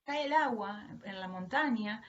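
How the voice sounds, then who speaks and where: A woman's voice: medium pitch, lively intonation, a little slow. A woman with an Argentine Spanish accent giving a devotional teaching to other women, addressing her listeners earnestly and affectionately. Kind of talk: devotional sermon to an online audience. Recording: dull lo-fi online audio, faint noise.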